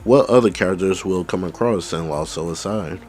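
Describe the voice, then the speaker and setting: A man's voice: sits low, moderate pace, a little mellow, husky, soft background music. A man hosting a gaming channel, coming down with the flu, casually reading out game details and commenting, with stumbles and restarts. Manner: reads text aloud with stumbles and restarts.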